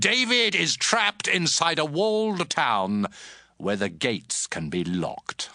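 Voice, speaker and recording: A forceful, vengeful king. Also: on the high side, very animated, slow pace, creaky, dry recording, clean studio sound, exaggerated voice. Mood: gloating. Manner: forceful, gloating, commanding. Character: furious, vengeful king giving death orders. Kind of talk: dramatized scripture audio drama.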